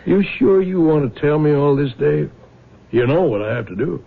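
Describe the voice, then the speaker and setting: A man's voice: on the high side, lively intonation, normal speed, creaky. An actor playing a blunt, folksy small-town lawman in an old radio drama. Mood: surprised, probing. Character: gruff, folksy small-town lawman, older family man. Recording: tinny, narrow old radio broadcast.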